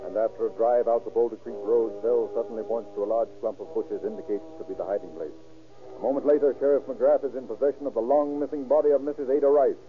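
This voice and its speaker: A man's voice: medium pitch, quick pace, music in the background, close to an everyday voice. A man giving formal, solemn, dramatic scripted narration in the style of an old radio crime drama. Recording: tinny old radio recording.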